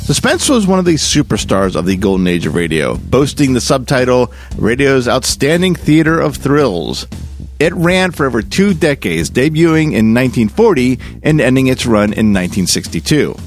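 A man's voice: medium pitch, very animated, normal speed. A man hosting a podcast, reading a scripted introduction in a presentational, announcer-like way. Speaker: podcast host. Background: music.

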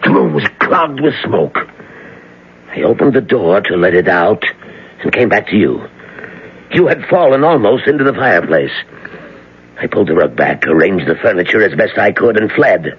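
A man's voice: lowish voice, very animated, moderate pace, slightly rough, full character voice. An actor playing a frightened, shaken man who took part in witchcraft, in an old radio drama. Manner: shaken, urgent, hushed. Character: frightened male accomplice in witchcraft. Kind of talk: 1970s radio drama acting. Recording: dull, muffled old radio broadcast.

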